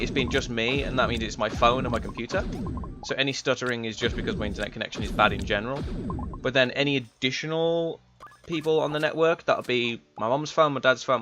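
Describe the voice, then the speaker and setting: A young man with a slightly raspy voice, chatting in a rambling, laid-back way while streaming a game. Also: mid-range voice. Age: young adult. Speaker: gaming streamer. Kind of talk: casual chat while playing. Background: game music.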